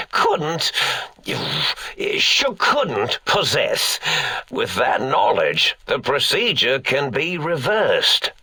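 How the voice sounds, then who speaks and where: An actor voicing an eccentric, absent-minded old mad scientist in a video game, with a theatrical delivery. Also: male voice, on the high side, pushed and bright, breathy edge, no echo, full character voice. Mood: rambling explanation. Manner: rambling, stammering, theatrical self-corrections.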